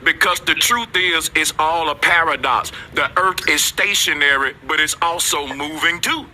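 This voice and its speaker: A man in a played video clip, delivering an emphatic, insistent monologue with drawn-out words. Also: fairly high pitch, pushed and bright, slight background noise. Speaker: video creator being reacted to.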